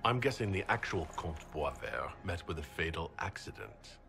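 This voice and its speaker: An actor playing a blunt, commanding leader in a fantasy game cutscene, terse and direct. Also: male voice, sits low, lively intonation, normal speed, creaky, pushed and bright, heavily acted. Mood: blunt, serious. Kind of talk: scripted video game cutscene acting. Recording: long hall reverb, background ambience noise.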